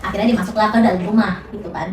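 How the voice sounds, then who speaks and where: A woman's voice: medium pitch, fairly even intonation, rapid-fire, darkish tone, echoey room, far from the mic. A young woman, a student casually telling a spooky story on a podcast, with lots of fillers and restarts. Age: young adult. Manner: chatty, many fillers and restarts.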